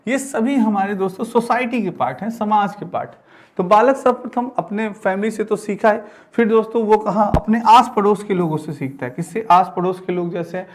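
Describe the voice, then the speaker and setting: A man's voice: high-pitched, fairly quick, a little hiss or hum. A man in his thirties giving an explanatory classroom lecture, repeating phrases and asking rhetorical questions. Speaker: teacher giving an exam-prep class. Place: indoor studio with digital teaching board.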